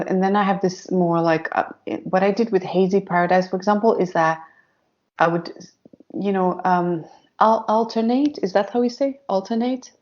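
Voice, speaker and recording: Woman guest, fairly low voice, halting second-language English, dull lo-fi sound. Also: a little mellow. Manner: hesitant, searching for words, many fillers. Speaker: synth pop singer, guest. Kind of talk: casual interview chat.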